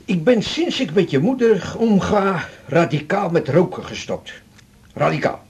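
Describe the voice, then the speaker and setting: A man's voice: sits high, big pitch swings, a little slow, darkish tone, husky, full character voice. A chatty man with swooping intonation. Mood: chatty, familiar. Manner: breezy, familiar, teasing. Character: jovial, chatty man, doctor's familiar patient. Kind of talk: radio drama acting.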